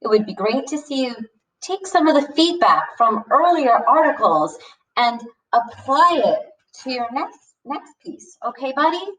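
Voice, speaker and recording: A woman reading as an easygoing male teacher in a storybook, chummy and gently corrective. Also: sits high, sing-song, unhurried, echoey room, voice pushed up, exaggerated voice. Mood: gently chiding. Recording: distant microphone.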